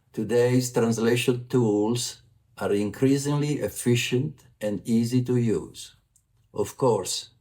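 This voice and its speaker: A man's voice: medium pitch, darkish tone. A man from Italy with an Italian accent, giving a formal prerecorded report as a vice president for communications. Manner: formal, measured delivery.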